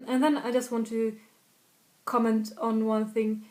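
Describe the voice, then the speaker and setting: Woman with a Swedish accent, language tutor, fairly high voice, unhurried, chatty, repeats examples. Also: flat delivery, darkish tone. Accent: Swedish, slightly northern. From Sweden, north of Stockholm. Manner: chatty, self-correcting, repeats example phrases slowly. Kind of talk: casual video lesson.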